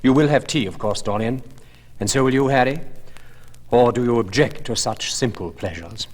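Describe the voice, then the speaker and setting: Earnest gentleman artist, voiced by a male narrator, husky, brusque and easily wounded, literary storybook. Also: medium pitch, moderate pace, big-room sound, voice pushed up, lightly acted. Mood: brisk, dry. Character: earnest, touchy, bitter gentleman painter.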